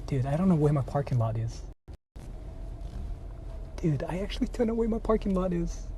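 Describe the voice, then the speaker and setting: A man's voice: a little high, big pitch swings, moderate pace, dark tone. A man from Japan, chatting casually on a livestream in blunt, repetitive slang with some swearing. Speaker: livestreamer, channel host. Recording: outdoor street noise.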